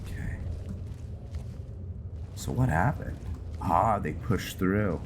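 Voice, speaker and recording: A man giving casual commentary on a game playthrough, thinking aloud and narrating his actions in a halting way. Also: low-pitched, animated, noisy background. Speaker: gaming video host. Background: loud game music.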